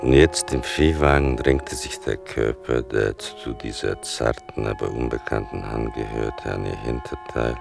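An actor playing a grown son who narrates his mother's past in a radio play, slowly and intimately, with a gravelly voice. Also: steady tone, exaggerated voice. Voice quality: very deep, gravelly. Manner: slow, deliberate, intimate. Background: music.

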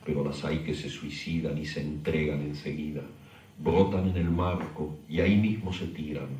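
A man's voice: extremely low pitch, flat delivery, moderate pace, a little mellow, roomy sound, distant mic, a little hiss or hum, poor audio. A man giving a literary recitation.

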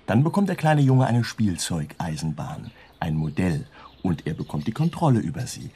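Deep, mellow, smug doctor. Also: male voice, sing-song, normal speed, husky, full character voice. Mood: smug, lecturing. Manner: lecturing, suggestive. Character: smug, seductive male doctor, the wife's lover. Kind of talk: German radio play acting.

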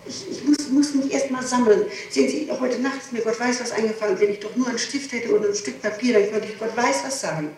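A woman's voice: a little high, fast talker, hardly any pauses, a little mellow. A woman being questioned as a suspect, answering with emphatic, repeated protests on an old interrogation tape from the 1960s. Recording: noisy, echoey, distant 1960s tape recording.

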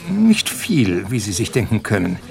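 An actor playing a pompous chemical company executive in a German radio drama, with a rhetorical, self-assured way of talking. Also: male voice, on the low side, wildly swooping pitch, measured pace, creaky, darkish tone, heavily acted. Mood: brusque, indignant.

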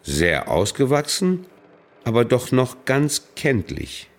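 An actor in a German radio play playing a humble, downcast village outcast, narrating in subdued, halting phrases. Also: male voice, medium pitch, huge pitch swings, heavily acted. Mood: hushed narrating.

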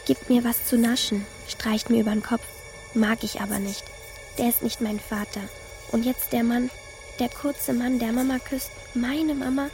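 A woman's voice: fairly high pitch, flat delivery, unhurried, darkish tone, faint music behind. A woman voicing the sullen, haunted ghost of a little girl in a radio drama, in short, childlike, repeated phrases. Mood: sullen, hurt.

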